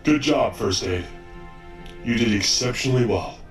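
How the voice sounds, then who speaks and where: A man playing a brisk, formal, authoritative robot senior doctor in an audio drama. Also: medium pitch, lively intonation, faint music behind, close to an everyday voice. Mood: approving. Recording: roomy, distant from the mic.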